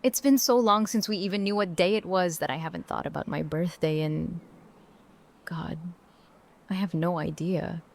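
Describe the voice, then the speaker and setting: A woman's voice: lowish voice, moderate pace, slight background noise, natural voice. A woman playing a brash, outspoken survivor of about thirty in an audio drama, blunt and casual. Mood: reflective, surprised. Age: 30s.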